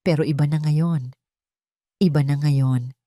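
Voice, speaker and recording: A woman voicing a grieving, guilt-ridden man in an audio drama, speaking gravely and haltingly with pain. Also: low voice, fairly even intonation, warm tone, dry recording, studio quality. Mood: heavy, remorseful.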